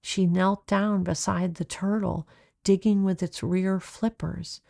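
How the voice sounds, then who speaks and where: A woman narrating a children's storybook in a measured, expressive way, like an author reading aloud. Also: lowish voice, gravelly, no room sound, clean studio sound.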